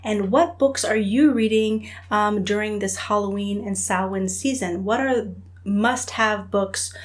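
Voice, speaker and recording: A woman's voice: mid-range voice, a little slow. A woman hosting her own channel, chatting casually to camera with frequent ums and filler phrases. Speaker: host of a spiritual book channel.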